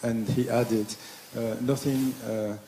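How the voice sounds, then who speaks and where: Halting, gravelly man asking a question. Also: mid-range voice, flat delivery, mellow, slight background noise, rough recording. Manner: halting, many fillers and self-corrections. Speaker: audience member asking a question. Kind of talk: spontaneous question from the floor.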